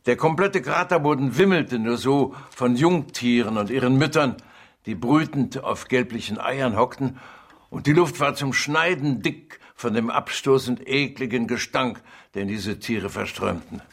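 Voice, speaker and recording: An urbane gentleman with a mid-range voice and measured, articulate phrasing. He relishes his words like a theatrical storyteller in a radio play. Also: medium pitch. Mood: relishing, suspenseful. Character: amused, urbane gentleman relishing an adventure tale. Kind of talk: radio drama acting, dramatic reading aloud.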